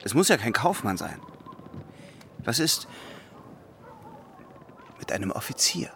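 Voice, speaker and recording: A man's voice: medium pitch, very animated, slow pace, vocal fry, very noisy, heavily acted. A man playing an earnest, lovesick young seaman in a radio play, prickly and emotional. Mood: earnest, hopeful. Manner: earnest, emotional, easily exasperated. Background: music.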